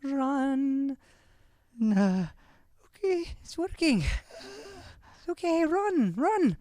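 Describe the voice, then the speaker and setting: A very high, slow-talking soothing character in an improvised radio play. The delivery is halting and careful. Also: male voice, big pitch swings, a little mellow, dry recording, clean studio sound, full character voice. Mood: anxious soothing.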